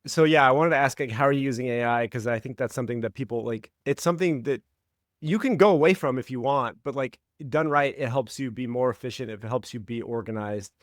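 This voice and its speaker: A young adult man hosting a podcast, talking in a relaxed way and filling his speech with "like.". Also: medium pitch, brisk, no echo, clean studio sound. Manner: relaxed, heavy use of "like", acts out quotes. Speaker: podcast host. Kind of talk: casual interview chat.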